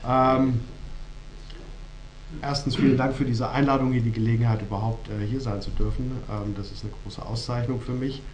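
A man's voice: medium pitch, fairly even intonation, reverberant, a little hiss or hum, rough recording. Formal German man with a cold. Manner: formal, halting, polite. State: has a cold. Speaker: journalist and jury member, guest. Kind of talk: formal address to an audience.

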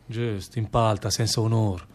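Actor as defiant condemned worker with Lombard accent. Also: male voice, on the low side, steady tone, moderate pace, darkish tone, lightly acted. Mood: weary. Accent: northern Italian, Lombard. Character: condemned Italian anarchist emigrant worker, defiant, bitter, weary. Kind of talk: stage-style radio drama monologue in dialect.

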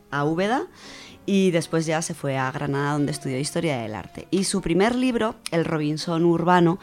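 A woman's voice: deep voice, a little mellow. A woman with an accent from Spain presenting a literary radio segment in a conversational, enthusiastic, semi-scripted way.

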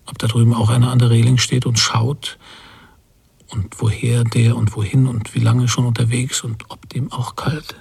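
A brooding emigrant father in a literary radio play. His voice is very husky with a gravelly creak, musing in short, verbless fragments with a melancholy temper. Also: medium pitch, level tone, darkish tone, heavily acted. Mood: melancholy, forlorn. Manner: slow-building, brooding reflection in verbless fragments. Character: melancholy post-war emigrant father on a ship's deck. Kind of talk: literary radio drama monologue.

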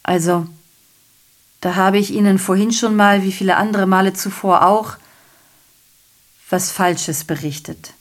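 An actress playing a garrulous, self-pitying messenger in a German radio play, giving a digressive, theatrical monologue that keeps correcting itself. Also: female voice, medium pitch, fairly even intonation, measured pace, pauses often, close to an everyday voice. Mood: confiding, rueful. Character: garrulous, self-pitying messenger reporting a night of revelry and shootings.

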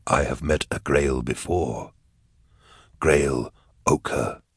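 Relentless male interrogator, put on by a male narrator: extremely low, slow and husky, terse audiobook menace under strain. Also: dry recording, studio quality, heavily acted. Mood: grim, insistent. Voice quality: husky, grunting. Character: grim, commanding male interrogator, straining with concentration. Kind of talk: dramatised audiobook dialogue.

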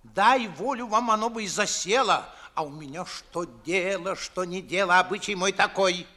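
A man's voice: high-pitched, moderate pace, bright, forceful tone. An actor playing a pompous, grumbling middle-aged nobleman and father, declaiming verse sarcastically in 1950s theatrical radio style. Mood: blustering.

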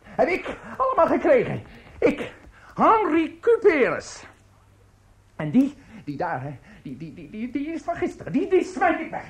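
Volatile, stammering, disillusioned music teacher. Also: male voice, very high-pitched, wildly swooping pitch, a little slow, full character voice. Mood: bitter, agitated. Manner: stammering, repeating words, theatrical. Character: bitter, disillusioned middle-aged male opera teacher, volatile and maudlin. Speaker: actor. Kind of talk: radio drama acting.

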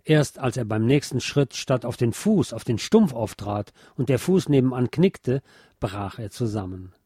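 Male narrator for literary documentary reading; mid pitch, dark soft tone, dry studio sound. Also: expressive, unhurried, breathy edge, no room sound. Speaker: narrator reading a historical letter and credits.

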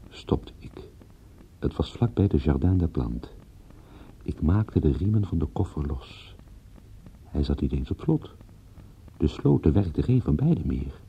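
Casting: radio-drama private eye, restless and self-reproaching, low-pitched with a badly frayed, husky tone. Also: male voice, muffled recording, full character voice. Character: agitated, self-doubting male private detective, dogged. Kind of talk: Dutch radio play dialogue and first-person narration.

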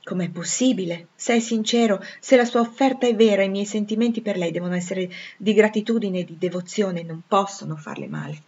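Lady reader doing a young heroine in an audiobook, earnest, cant quite believe it, husky, muffled recording. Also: mid-range voice, fairly quick, hardly any pauses, a little mellow. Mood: earnest disbelief. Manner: questioning, earnest. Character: earnest, incredulous, devoted poor young woman. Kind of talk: dramatic audiobook character dialogue.

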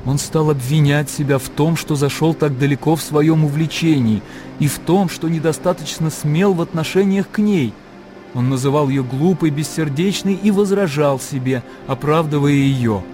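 Mellow male narrator over music. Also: fairly high pitch, normal speed. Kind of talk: scripted literary narration.

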